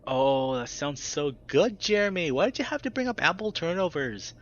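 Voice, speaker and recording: A man voicing a dopey young woman who talks in a babyish, cooing whine with a slight rasp. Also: low voice, animated, moderate pace, lo-fi sound, voice pushed up. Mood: whiny, dopey.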